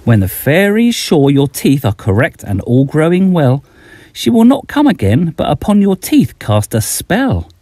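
A man's voice: a little high, sing-song, moderate pace, a little mellow, dry recording, clean studio sound. A middle-aged man reading a rhyming bedtime story aloud, expressively, as a children's author addressing young listeners.

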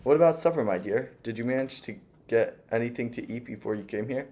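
A man's voice: mid-range voice, brisk, a little mellow, close to an everyday voice. A man voicing a gushing, fussy older landlady who is sweetly sinister, with a doting, sugary manner.

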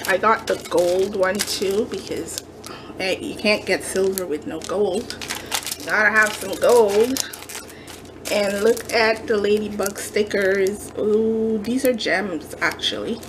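A woman's voice: medium pitch, takes it slowly, noisy background. A woman chatting casually as she shows off a craft shopping haul, gushing with many fillers, recorded at a kitchen counter. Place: home kitchen counter, indoors.

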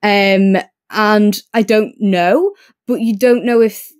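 A woman's voice: mid-range voice, steady tone, slow, a little mellow. Chatty woman thinking aloud. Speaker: podcast co-host reviewing audiobooks. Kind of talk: casual chat, book review discussion.

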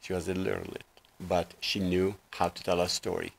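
A man's voice: low-pitched, flat delivery, normal speed, gravelly, husky. A man in his sixties from Lebanon, a guest life coach telling his story earnestly in a small TV studio, with lots of fillers. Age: 60s. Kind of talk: casual interview talk. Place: small TV studio set, indoors.

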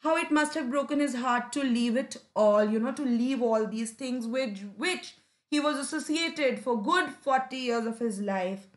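A woman's voice: sits high, measured pace. A young adult woman with an Indian English accent, a Hindi native speaker, explaining a story line by line as an English teacher, often asking "right?" and "you know". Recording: echoey room.

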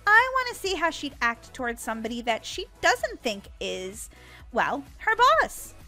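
Woman acting a high, sweet undercover boss. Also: wildly swooping pitch, measured pace, soft background music, heavily acted. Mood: earnest, confident. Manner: polite, gentle, earnest. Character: sweet, polite young woman, undercover donut shop boss. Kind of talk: improvised video game roleplay skit.

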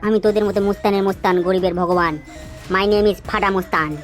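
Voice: medium pitch, little pitch movement, faint music behind, lightly acted. A performer voicing a brash, foul-mouthed young male village gangster in a comic cartoon, swaggering and coarse. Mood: boastful. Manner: swaggering, coarse, quick to threaten. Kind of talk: comic cartoon dubbing in colloquial Bengali.

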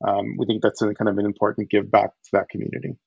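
A man's voice: on the low side, fast talker, vocal fry, breathy edge, no room sound. Man on a dull, dry headset call. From Ukraine. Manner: animated, gestures while explaining, frequent restarts. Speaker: startup founder, guest. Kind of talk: casual video-call interview. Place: home living room. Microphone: headset on video call.

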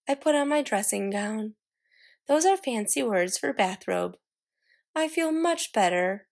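Excitable little girl acted by a woman, high voice with some creak, dramatic storybook delivery, proud and fancy. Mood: earnest.

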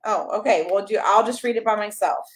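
A woman in her thirties reading a picture book aloud to a toddler, expressive and encouraging, coaxing the child along with questions. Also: mid-range voice, fairly quick, roomy sound. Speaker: pastor reading a children's story. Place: small carpeted room at home, indoors. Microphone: camera a step or two away.

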